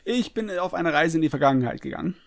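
Male co-host, gravelly and a touch high, dry jokey banter. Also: expressive, quick pace. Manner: joking, wry, laughs between remarks. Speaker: podcast co-host. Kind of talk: casual podcast chat.

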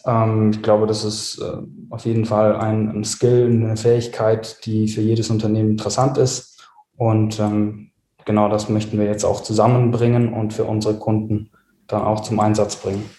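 A man's voice: on the low side, completely flat delivery, moderate pace, dark tone, roomy sound. A man, answering interview questions steadily with many fillers. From South Tyrol, Italy. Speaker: podcast guest, agency founder.

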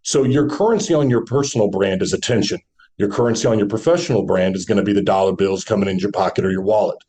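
A man with a deep voice, a podcast guest giving confident motivational advice on branding, asking rhetorical questions. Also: quick pace, dark tone.

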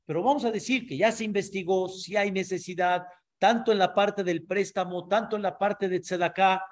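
Rabbi teaching a class, high voice, explains step by step and keeps checking in. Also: male voice, steady tone, moderate pace. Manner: explanatory, checks understanding with frequent asides. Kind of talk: Torah class lecture.

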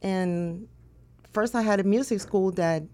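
A woman from Cuba, a school owner, answering interview questions in a measured way with some hesitations. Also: mid-range voice, darkish tone. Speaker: school owner and director, guest.

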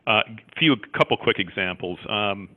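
Low-voiced man on a tinny line. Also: animated, normal speed. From Jamaica, raised in Canada. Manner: thoughtful, many fillers and restarts. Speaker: cardiologist guest. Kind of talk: interview conversation.

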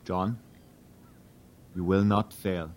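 Man acting a low-voiced German immigrant conspirator. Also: fairly even intonation, drawn-out words, a little hiss or hum. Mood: solemn, urging. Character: big Prussian immigrant conspirator, resolute yet uneasy. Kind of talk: historical audio drama acting.

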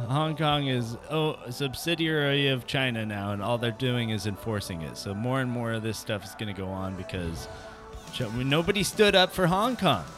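A man's voice: medium pitch, expressive, moderate pace, over music. An American man hosting a podcast, rambling through jokey opinions on the news and tossing rhetorical questions at listeners. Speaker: podcast host. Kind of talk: casual comedic news chat.

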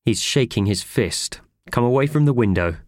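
A man's voice: medium pitch, lively intonation, moderate pace, a little mellow, no echo, studio quality, pitched above the performer's own voice, natural voice. A man voicing a flustered, easily panicked man in a children's storybook, fretful and spluttering. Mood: weary.

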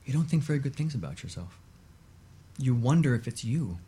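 A man speaking as an expert guest on a radio talk show, explaining in a measured, emphatic way and repeating points for stress. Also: mid-range voice, very animated, mellow, slightly rough.

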